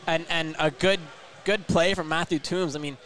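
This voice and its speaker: A man's voice: on the high side, steady tone, normal speed, fairly bright tone. A man working as a hockey color commentator, talking conversationally and emphatically, often repeating and stumbling over words. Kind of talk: live hockey analysis.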